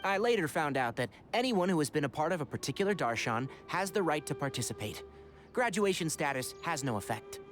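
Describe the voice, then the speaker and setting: A man's voice: fairly high pitch, sing-song, brisk, a bit bright, faint music behind. An actor playing a composed, sensible young male scholar in a video game, articulate and wry as he explains things. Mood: composed, explanatory.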